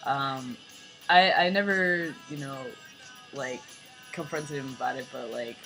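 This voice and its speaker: A young man with a light, fairly high voice talking in a rambling, casual vlog, full of "like" and "you know". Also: a little slow, creaky, bright, forceful tone, faint music behind, poor audio. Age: young adult. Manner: rambling, filler-heavy, lots of "like" and "you know". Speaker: vlogger.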